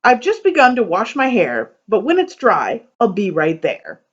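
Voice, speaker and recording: A woman's voice: mid-range voice, wildly swooping pitch, normal speed, muffled recording. A woman voicing a bold, sweet-talking young heroine in a read-aloud poem, with a cheery, confident delivery.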